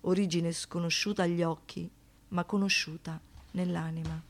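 A woman acting an anguished, defiant survivor of violence in a theatrical radio monologue, with an intense delivery that moves between hushed and explosive. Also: lowish voice, level tone, normal speed. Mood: contemplative, poetic. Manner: intense, swinging from hushed to outbursts.

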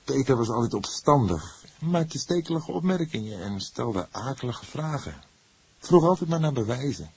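A man's voice: mid-range voice, sing-song, normal speed, creaky, breathy edge, pitched above the performer's own voice, exaggerated voice. A man voicing an earnest, defensive young man under questioning, speaking emphatically in acted audiobook dialogue. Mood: earnest, indignant. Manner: emphatic, flaring into defiance.

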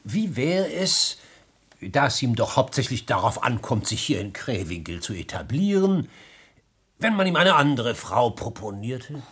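An actor playing a sly, self-satisfied small-town church warden in a radio comedy, drily scheming and a little ponderous. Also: male voice, mid-range voice, very animated, measured pace, a bit bright, husky, heavily acted. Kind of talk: stage comedy radio acting.

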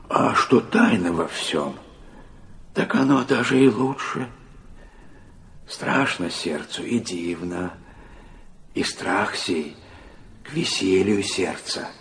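A frail old man of the people, a devout pilgrim in a literary audio drama. His voice is low and gravelly, and he takes his words very slowly. He sounds ill yet kindly, with a pious, folksy turn of speech. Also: lively intonation, a bit bright, a little hiss or hum, full character voice. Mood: serene, wondering. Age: older. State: sick and weak.

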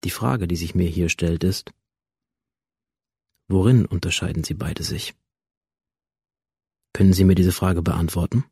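Controlled, low-voiced male interrogator. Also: unhurried, pauses often, dark tone, husky, dry recording, clean studio sound, full character voice. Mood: probing. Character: male police interrogator, probing, controlled and unyielding. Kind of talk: modern radio drama acting.

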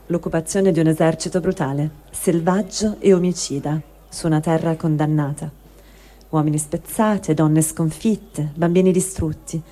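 A woman giving a slow, grave dramatic reading of diary texts for a radio program. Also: mid-range voice, mellow. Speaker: reader.

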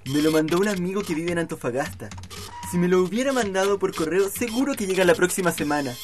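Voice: mid-range voice, lively intonation, quick pace, faint music behind, close to an everyday voice. A performer playing an enthusiastic, laid-back young boy with a Chilean accent in a radio drama. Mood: relaxed.

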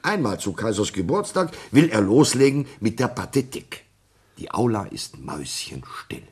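A man's voice: on the low side, big pitch swings, normal speed, a little mellow, breathy edge, exaggerated voice. A man acting in a radio play as a jovial, teasing middle-aged justice councillor with a theatrical, exuberant manner. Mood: exuberant storytelling. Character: jovial, teasing older gentleman, justice councillor, anecdote teller.

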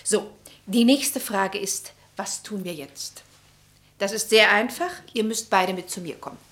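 A woman's voice: mid-range voice, measured pace, fairly bright tone. Bossy, theatrical older society lady. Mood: bossy, solicitous. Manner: theatrical, commanding. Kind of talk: German radio drama acting.

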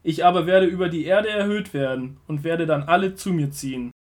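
A man playing a solemn, earnest male prophet and teacher in an audio drama of the Gospel, speaking in measured declarations. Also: on the high side, lightly acted. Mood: solemn, urgent. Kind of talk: audio drama Bible dialogue.

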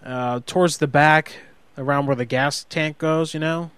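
A man's voice: fairly high pitch, fairly even intonation, a bit bright, smooth, no room sound, studio quality, close to an everyday voice. A man playing a hot-headed, sheepish husband in an improvised prank phone call, rambling and justifying himself as he goes. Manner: halting, rambling, self-justifying.

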